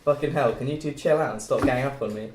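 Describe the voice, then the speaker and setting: A brash young male student, played by a man at a table read, teasing and crude in manner. He talks very quickly. The recording is roomy, with faint noise. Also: mid-range voice, natural voice. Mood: irritated, pushy. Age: young adult. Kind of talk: script read-through.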